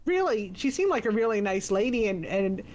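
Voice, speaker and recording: A woman's voice: medium pitch, very animated, fairly quick, darkish tone, a little hiss or hum, rough recording. A woman chatting casually, telling stories in a hesitant way with many fillers. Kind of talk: casual chat.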